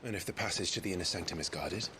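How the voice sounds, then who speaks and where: An actor playing a brooding, sceptical younger warrior in a video game, with a dry, sardonic, terse delivery. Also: male voice, low voice, racing, background noise, close to an everyday voice.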